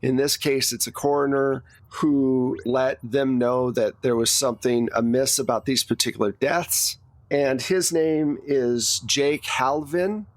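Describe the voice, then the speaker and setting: A man's voice: medium pitch, fairly even intonation, measured pace, no echo. A man runs a tabletop roleplaying game, laying out scenes in a conversational, informal way. He explains details as a game master would. The sound is dry, with little room around the voice.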